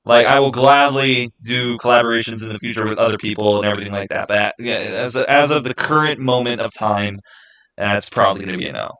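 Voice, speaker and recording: Bright-voiced man chatting casually on stream. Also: medium pitch, fairly even intonation, low-quality recording. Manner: informal, opinionated, halting and repetitive. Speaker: livestream host. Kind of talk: casual livestream chat answering viewer comments.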